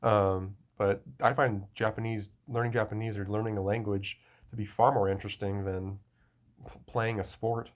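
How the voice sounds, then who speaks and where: Young adult man, lowish voice, loose rambling solo talk with many restarts. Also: level tone, moderate pace. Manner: rambling, frequent restarts and fillers. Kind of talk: casual solo monologue.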